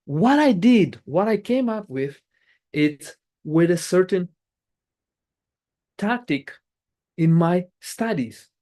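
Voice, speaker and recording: Lively motivational speaker. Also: mid-range voice, slow pace, pauses often. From Angola. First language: Portuguese. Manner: emphatic, repeats key phrases, restarts words. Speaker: club speech-giver. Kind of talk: prepared motivational speech.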